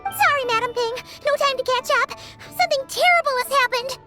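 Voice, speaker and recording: A woman voicing a small, excitable, childlike fairy companion in a lively, exclamatory manner for a video game. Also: extremely high pitch, fairly quick, over music. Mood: urgent.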